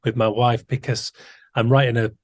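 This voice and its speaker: A man with a slightly husky voice, recorded dry and close. He hosts an interview podcast and muses thoughtfully, often restarting his phrases as he works through an idea. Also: medium pitch, flat delivery, normal speed, no echo. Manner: thoughtful, musing, frequent restarts. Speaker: podcast host. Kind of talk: conversational interview.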